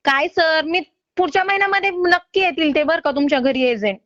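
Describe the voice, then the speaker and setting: A woman's voice: extremely high pitch, fairly quick, fairly bright tone, no echo. A woman making a loan recovery call in an insistent, polite but exasperated manner. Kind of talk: phone collection call. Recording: slightly dull phone-call audio.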